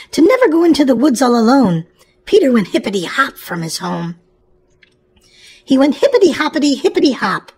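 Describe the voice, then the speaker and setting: A woman's voice: a little high, breathy edge, low-quality recording. A woman hosting a children's show and reading a storybook aloud in an exclamatory way, as if to young children. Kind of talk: animated children's read-aloud narration.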